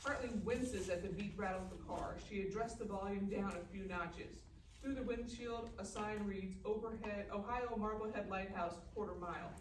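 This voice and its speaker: A woman's voice: medium pitch, moderate pace. A woman reading scripted screenplay stage directions at a live table read. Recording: echoey, distant room mic with clear noise.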